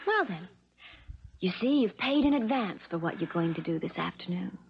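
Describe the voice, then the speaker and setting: A woman's voice: fairly low pitch, expressive, normal speed, vocal fry. An actress playing a cold, spoiled, scheming young rich woman in a 1970s radio drama, speaking in a haughty, cutting way. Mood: coolly persuasive. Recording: dull, noisy 1970s radio recording.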